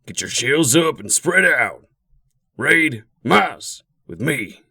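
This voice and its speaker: Gruff raider villain boss, slow gravelly growl, wild swings in pitch. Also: male voice, big pitch swings, pushed and bright, dry recording, clean studio sound, heavily acted. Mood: commanding. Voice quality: gravelly, husky. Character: gruff, commanding space raider leader villain. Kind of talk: comic dub villain acting.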